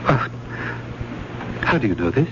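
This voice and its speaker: An actor playing an obsessed, volatile college professor in old-time radio drama, erudite and courteous but halting when cornered. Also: male voice, medium pitch, very animated. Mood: guarded unease. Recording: noisy, dull old radio drama recording.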